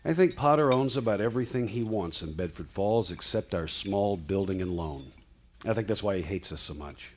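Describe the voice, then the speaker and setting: An actor playing a kind, tired father who speaks gently in a radio play. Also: lowish voice, expressive, normal speed, a little hiss or hum, close to an everyday voice. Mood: gentle. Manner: gentle, warm-hearted.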